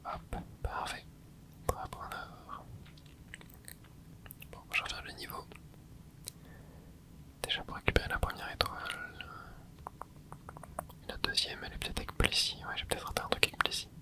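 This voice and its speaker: A gaming creator whispers an ASMR let's play. The voice is breathy and unvoiced throughout. The commentary is soft and casual, moving along in short remarks and little interjections. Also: moderate pace, long pauses.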